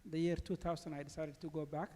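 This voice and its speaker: A man from Somalia speaking on a conference panel, halting with many pauses and fillers. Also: on the high side, level tone, mellow. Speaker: think tank panelist. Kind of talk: personal panel statement. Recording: long reverb of a large hall.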